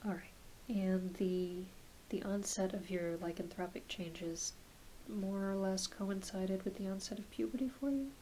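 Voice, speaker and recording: A soft-spoken woman, often dropping into a whisper, gently playing a reassuring doctor in an ASMR roleplay. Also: mid-range voice, steady tone, measured pace, poor audio. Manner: gentle, reassuring, hesitant questioning. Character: kindly, attentive doctor examining a patient. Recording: hissy close home recording.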